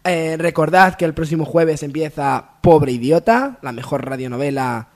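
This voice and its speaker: A man's voice: on the high side, moderate pace. A man with an accent from Spain co-hosting a radio show in loose, joking talk. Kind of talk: joking casual radio chat.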